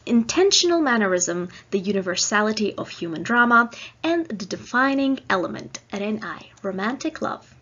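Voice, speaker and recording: A woman delivering a scripted academic lecture in a measured, explanatory manner. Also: medium pitch, very animated, fairly bright tone, lo-fi sound, a little hiss or hum. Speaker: guest lecturer.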